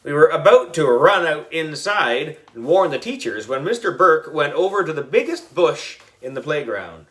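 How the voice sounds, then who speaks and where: A man reads a children's story aloud. His voice is bright and slightly high, with lots of swing in pitch. The delivery is playful and expressive. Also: normal speed, few pauses. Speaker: audiobook reader. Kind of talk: animated children's story narration.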